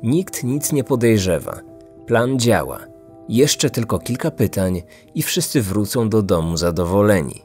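Niski głos męskiego narratora kryminalnego. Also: male voice, fairly low pitch, sing-song, unhurried, darkish tone, faint music behind. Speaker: podcast host and narrator. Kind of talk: scripted true-crime narration.

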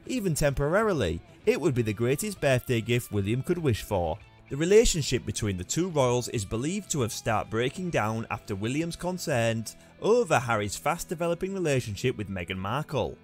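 Man, scripted news narrator, formal read-aloud delivery, animated pitch swings, faint music underneath. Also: moderate pace, hardly any pauses. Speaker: narrator of a royal news channel. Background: background music.

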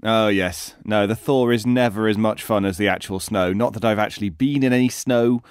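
British guy co-hosting, bit low voiced, chatty but precise, very dry sound. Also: steady tone, normal speed, clear, resonant tone, dry recording. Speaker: podcast co-host. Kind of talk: casual chat.

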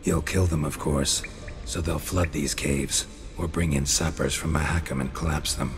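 A man playing a weary, stoic monster hunter in a video game, gruff, blunt and laconic. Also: steady tone, normal speed, soft background music. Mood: grim, persuasive. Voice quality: low, gruff. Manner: restrained, laconic. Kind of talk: dramatic video game acting.

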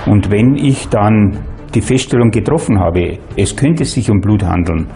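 A man giving an explanatory interview as a forensic expert, in a somewhat halting manner. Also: on the low side, lively intonation, dark tone.

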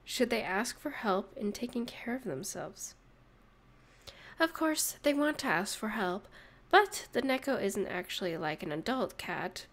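A woman playing a sarcastic narrator in a comedic audio roleplay, teasing and mock-sympathetic as she mocks a listener. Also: mid-range voice. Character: sarcastic, mocking narrator gleefully needling a hungover catgirl. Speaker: voice actress.

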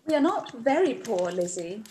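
A sweet young gentlewoman played by a woman speaks in a high, lilting voice. She is soothing and earnest, in the manner of a period drama read aloud. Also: very animated, moderate pace, slight background noise. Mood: tender, earnest. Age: young adult. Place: indoor room, over video call.

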